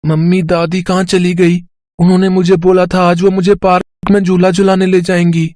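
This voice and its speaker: A man puts on a small, innocent schoolboy for a cartoon tale. The voice is high and rather flat, recorded dry. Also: normal speed, no room sound, lightly acted. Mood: searching. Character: innocent little schoolboy missing his grandmother. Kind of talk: cartoon story voice acting.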